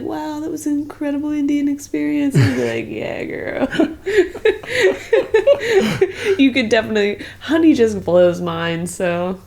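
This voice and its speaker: A woman's voice: mid-range voice, darkish tone. A woman chatting casually and joking playfully while reacting to old travel footage. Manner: jokey, playful.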